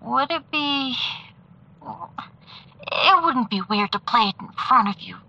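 An actress playing a sleepless, self-conscious woman in a naturalistic audio drama, talking haltingly. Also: sits high, animated, gravelly, pushed and bright, highs cut off, heavily acted. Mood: sheepish. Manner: hesitant, halting.

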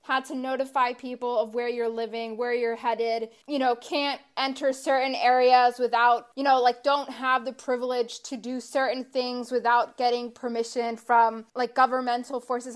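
Impassioned, profane woman podcast host. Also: on the high side. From grew up in the US after her parents immigrated. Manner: impassioned, profane, rambling with many fillers. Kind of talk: casual podcast discussion.

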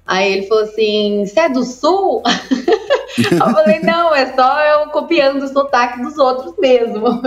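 A woman's voice: high voice, unhurried. A young woman, an esports caster, chatting emotively with lots of fillers in a casual video call interview, on an echoey, dull lo-fi recording.

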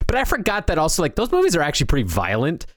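A man's voice: a little high, huge pitch swings, racing, no echo. Man talking casually, dry close sound. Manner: conversational, anecdotal. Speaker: talk show co-host. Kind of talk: casual film discussion.

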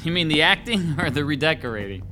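A man's voice: on the high side, big pitch swings, quick pace, bright, forceful tone, slight background noise. A man playing a chatty, joking handyman with a morbid streak in an audio drama. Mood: breezy, sly. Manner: casual, joking, chuckling.

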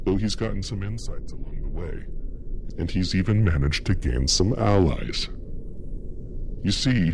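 Very deep, gravelly sinister narrator. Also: male voice, background noise, exaggerated voice. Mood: sly, conspiratorial. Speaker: in-character host recapping a horror audio drama. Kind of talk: scripted horror podcast narration.

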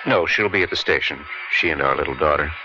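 A man's voice: very deep voice, quick pace. An actor in a radio drama playing an evasive married man who is leaving his mistress. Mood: evasive, uneasy. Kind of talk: 1970s radio drama acting. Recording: dull radio recording over music.

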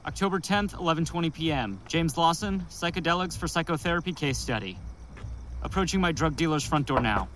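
An actor playing a self-serious male psychedelics researcher, casual but brisk and slightly pedantic, in an audio drama. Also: on the high side, expressive, noisy background, lightly acted. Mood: matter-of-fact. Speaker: researcher recording case study. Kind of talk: naturalistic audio drama dialogue. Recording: music behind voice.

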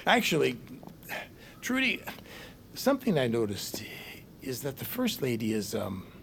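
An actor playing a wily, sardonic old newspaperman who wheedles and flatters his way through a period audio drama. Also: medium pitch, measured pace, vocal fry, a little hiss or hum, exaggerated voice. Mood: probing. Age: older. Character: wily old male reporter, sardonic and smooth-talking.

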